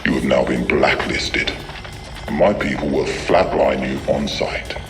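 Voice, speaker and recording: Very deep, cold crime boss. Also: male voice, music in the background. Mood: cold menace. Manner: formal, measured threats. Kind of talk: video game cutscene acting.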